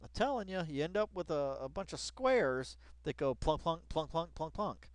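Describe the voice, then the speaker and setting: A man's voice: fairly high pitch, sing-song, normal speed, smooth, no echo. A man hosting a casual read-along commentary in slangy, colloquial speech, emphatically repeating phrases and addressing people directly. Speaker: online video host.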